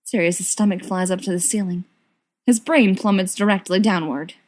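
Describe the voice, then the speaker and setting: A woman reading scripted fiction aloud as an expressive narrator. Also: mid-range voice, normal speed. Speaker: podfic reader.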